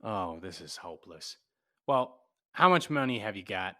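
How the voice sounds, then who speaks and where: An actor playing a tough, wry, cynical private detective in a 1940s-style radio drama, with slangy, clipped delivery. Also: male voice, fairly low pitch, lively intonation, normal speed, fairly bright tone. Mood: wry, brisk.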